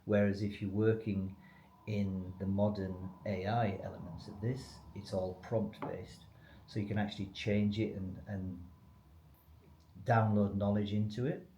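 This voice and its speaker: A man with a fairly low, slightly soft-toned voice answers as the expert in a business interview. He explains things conversationally, in a clearly echoey room. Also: fairly even intonation, unhurried. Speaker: company expert being interviewed. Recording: echoey room, faint background noise.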